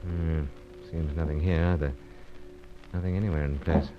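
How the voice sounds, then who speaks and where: A man playing a brisk, unflappable British secret agent in a spy radio serial, with clipped, telegraphic phrasing. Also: a bass voice, fairly even intonation, lo-fi sound, loud music behind the voice. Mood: brisk, musing. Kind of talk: old-time spy radio serial acting.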